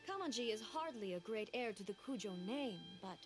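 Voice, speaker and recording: A woman voicing a composed, regal female deity in a game, measured and formal. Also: a little high, animated, natural voice. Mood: solemn. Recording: game audio with music behind.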